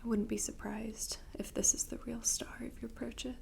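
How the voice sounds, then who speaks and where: A young woman playing a mysterious, gentle seaside shopkeeper in a whispered ASMR roleplay, hushed, unhurried and intimate. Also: on the high side, level tone, vocal fry. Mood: hushed, inviting. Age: young adult. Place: dim candlelit tabletop set indoors.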